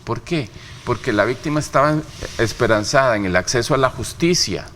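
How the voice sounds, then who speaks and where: A man's voice: mid-range voice, expressive, brisk, fairly bright tone, a little hiss or hum. A man from Rica, giving emphatic interview answers full of rhetorical questions. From Costa Rica. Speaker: interview guest, human rights official.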